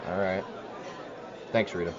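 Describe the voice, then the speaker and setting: A man's voice: sits low, steady tone, measured pace, crowd noise. A man playing a probing, persistent suburbanite questioning neighbors in an audio drama. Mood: concerned. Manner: questioning, insistent. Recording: muffled, clearly noisy track.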